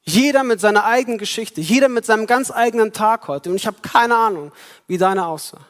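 A man's voice: high voice, brisk. Man, preacher, Christmas sermon, reflective, speaks straight to listeners, long hall echo. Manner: reflective, addresses listeners directly.

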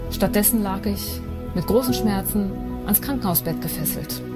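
Woman, personal testimony, earnest, measured, loud ambient music behind. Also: medium pitch. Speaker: testimony speaker.

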